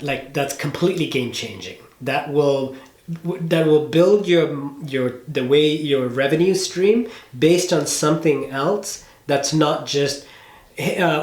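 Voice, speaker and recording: A man working as a business coach, talking someone through ideas casually and emphatically, often checking that they follow. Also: fairly high pitch. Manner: explanatory, emphatic with rhetorical check-ins. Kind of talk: casual coaching phone call. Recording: roomy, echoey room sound.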